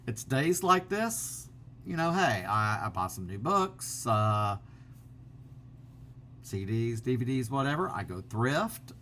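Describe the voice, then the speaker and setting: A man's voice: medium pitch, very animated, slow pace, bright, forceful tone, a little hiss or hum. A middle-aged man, chatting casually to his camera at home, rambling through fillers and restarts. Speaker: vlogger. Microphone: built-in laptop camera mic.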